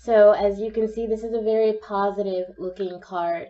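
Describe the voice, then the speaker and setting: A young woman with a cold and a slightly croaky voice, gently talking through a pick-a-card tarot reading. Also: medium pitch, fairly even intonation, normal speed, recorded from a distance, dull audio. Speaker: tarot reader.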